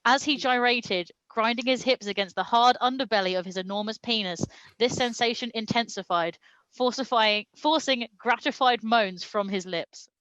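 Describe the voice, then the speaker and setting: Bright-voiced woman reading comic fiction. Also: a little high, normal speed, no room sound, lo-fi sound. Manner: mock-serious, often breaking into laughter. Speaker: reader at a comedy show. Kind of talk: comedic reading of fan fiction aloud.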